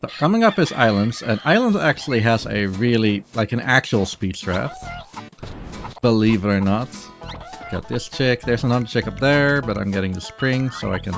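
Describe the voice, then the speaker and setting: A man's voice: medium pitch, animated, vocal fry. A man giving casual, rambling live gameplay commentary as a speedrunner, often interrupting himself. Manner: halting, rambling, self-interrupting. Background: game music.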